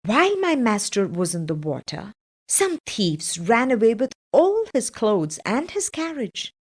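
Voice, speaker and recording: A woman's voice: fairly high pitch, big pitch swings, unhurried. A woman voicing a clever, persuasive talking cat in a fairytale storybook reading. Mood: pleading. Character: clever, bold talking cat, servant to his master.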